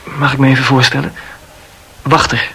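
An actor playing an eager, nervously chatty young male medical student in a radio drama, talking in a hurried, self-justifying way. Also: medium pitch, little pitch movement, vocal fry, sharp tone, breathy edge, heavily acted, rough recording. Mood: polite, forward.

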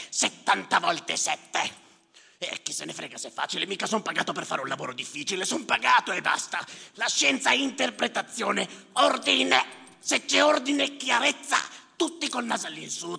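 Casting: a sardonic, grumbling petty clerk, theatre monologue, harsh forceful rasp in a very reverberant hall. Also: male voice, very high-pitched, exaggerated voice. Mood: muttering, sardonic, then pompous, insistent. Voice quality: raspy, gravelly. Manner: muttering to himself, sardonic, declamatory, repeating words obsessively. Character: bitter, cynical, grumbling male clerk tallying the drowned. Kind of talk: theatrical stage monologue with live music. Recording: reverberant hall, music behind voice. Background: music.

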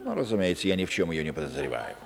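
An actor playing a sly, confiding husband in a radio play. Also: male voice, low-pitched, big pitch swings, very fast, gravelly, a crowd in the background, exaggerated voice. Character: smooth, sly husband with secrets.

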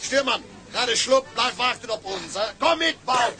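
An actor playing a brusque, boisterous sea captain in a radio drama, barking orders. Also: male voice, very high voice, animated, normal speed, music in the background. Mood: angry, commanding. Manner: barking, commanding. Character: brusque, boisterous male tugboat captain who drinks and gambles.

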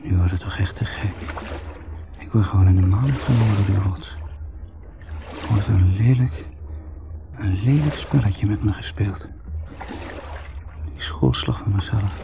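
A man's voice: lowish voice, unhurried, creaky, pauses often. An actor playing a wry, musing, fatalistic man in a 1970s radio drama, speaking with irony. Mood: bewildered, resentful. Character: Joris, sardonic, rambling, fatalistic brother. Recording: tinny, noisy 1970s radio recording.

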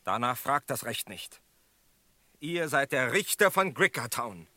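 An actor playing a stern, solemn outlaw in a German Western radio play, tense and insistent. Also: male voice, medium pitch, big pitch swings, unhurried, pushed and bright, dry recording. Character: stern, unyielding male Western outlaw demanding his sentence.

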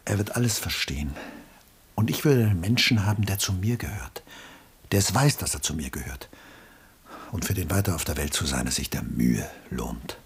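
An actor playing an embittered, lonely middle-aged artist in a mid-century German radio play, melancholy and brooding, with a husky voice and hushed drops. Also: male voice, low-pitched, lively intonation, moderate pace, heavily acted. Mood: earnest, brooding. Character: Julian, embittered aging artist, lonely, self-pitying father.

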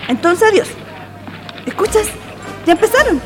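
A woman acts a dreamy young Chilean woman in love, earnest and girlish, for a radio drama. The voice is high, over faint background music. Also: big pitch swings, moderate pace. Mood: eager. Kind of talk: amateur radio play acting.

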